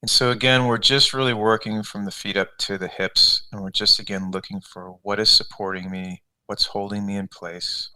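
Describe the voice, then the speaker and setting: Low, bright-voiced man guiding meditation. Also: steady tone, normal speed, hardly any pauses, smooth, low-quality recording. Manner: conversational, repetitive, step-by-step guiding. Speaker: meditation coach. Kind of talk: guided meditation, unscripted instruction.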